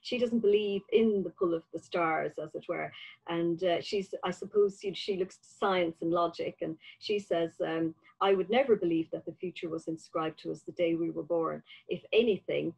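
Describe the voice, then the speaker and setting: Low, creaky older woman interviewer. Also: moderate pace, darkish tone, rough recording. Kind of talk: measured literary interview. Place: book-lined room at home, video call.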